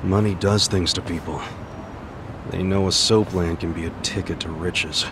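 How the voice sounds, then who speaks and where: A man's voice: low voice, steady tone, moderate pace, noisy background. An actor playing an earnest, protective man with a hot temper in a video game. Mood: reflective. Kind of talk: English video game cutscene dub. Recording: music behind the voice.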